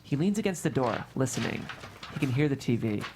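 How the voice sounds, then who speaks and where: A narrator reads screenplay stage directions at a table read. The voice sits at a medium pitch and moves with lively, wide inflection through the scripted action. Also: brisk, background noise.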